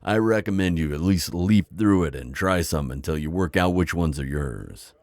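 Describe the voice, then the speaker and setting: A man playing a kindly, wise vampire innkeeper in an intimate ASMR roleplay, deep-voiced, with a folksy, chatty and slightly hesitant manner. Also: lively intonation, moderate pace, a little mellow, no room sound. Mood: instructive, cautioning. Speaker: audio roleplay performer.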